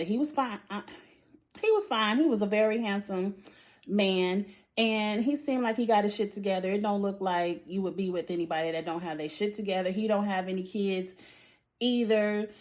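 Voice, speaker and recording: High-voiced, outspoken woman recapping reality TV. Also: moderate pace. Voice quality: high-pitched voice. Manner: animated, outspoken, frequent laughs and sighs. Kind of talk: casual opinionated chat.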